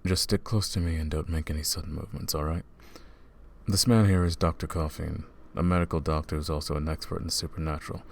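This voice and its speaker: A man voicing a brooding male vampire who hunts vampires, soft-spoken and calm in an ASMR roleplay. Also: very deep voice, quick pace, gravelly, heavily acted. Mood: weary.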